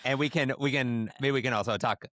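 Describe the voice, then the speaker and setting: A man's voice: mid-range voice, fairly even intonation, brisk, fairly bright tone, no echo, clean studio sound. A man co-hosting a podcast, chatting casually and jokily with friends. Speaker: podcast co-host. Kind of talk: casual banter among friends.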